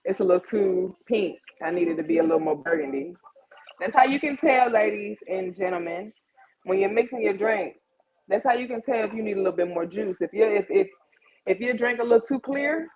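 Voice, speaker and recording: A woman's voice: on the high side, poor audio. A woman of about thirty hosting a casual livestream, chatting informally and slangily straight to her audience. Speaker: host of a live chat show.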